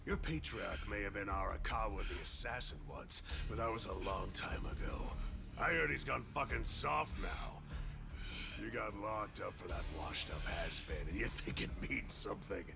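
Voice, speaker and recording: An actor playing a cocky, sneering prison thug in a video game dub, mocking and taunting. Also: male voice, fairly low pitch, normal speed, creaky. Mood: sneering contempt. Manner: mocking, drawling, profane taunts. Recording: music behind the voice. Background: music.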